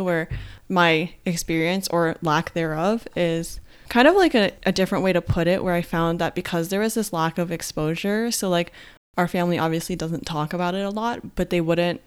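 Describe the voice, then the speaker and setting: A young woman co-hosting a podcast, her voice a little low and very smooth. She muses in a relaxed, casual way, full of fillers. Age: young adult. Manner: relaxed, reflective, uses many fillers. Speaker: podcast co-host. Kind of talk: casual chat.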